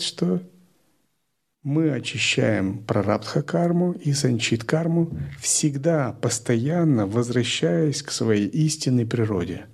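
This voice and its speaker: A man giving a spiritual lecture, explaining step by step in a calm, methodical way. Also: mid-range voice, gravelly. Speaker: spiritual teacher.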